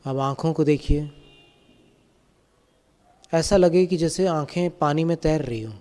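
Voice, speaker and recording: Calm guy leading guided meditation, really slow and gentle, soft mellow voice. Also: a little high. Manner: calm, gentle, unhurried step-by-step instruction. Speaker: Jain monk and meditation teacher.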